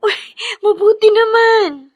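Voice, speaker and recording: An actress with a Filipino accent playing a soldier's devoted, emotional young wife in a radio drama. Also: female voice, very high voice, slow pace, no room sound, muffled recording. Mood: happy, excited. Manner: expressive, emotive.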